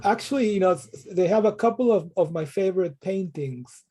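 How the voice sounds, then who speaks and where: A man's voice: sits high, lively intonation, brisk, dark tone. A middle-aged man from Peru, a professor answering interview questions thoughtfully, often repeating words as he restarts. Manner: thoughtful, frequent word repetitions and restarts.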